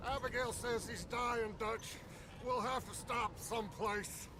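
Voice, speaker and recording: A woman's voice: fairly high pitch, steady tone, measured pace, noisy background, lightly acted. A woman acting a worried outlaw gang member travelling through a storm, in a dramatic video game cutscene. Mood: worried, urgent.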